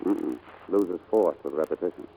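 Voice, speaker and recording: A man's voice: sits low, normal speed, creaky, warm tone, a little hiss or hum, poor audio. An actor playing a breezy, flirtatious, self-assured male pilot in 1940s radio drama style. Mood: tender, flirtatious. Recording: old 1940s radio broadcast, music behind.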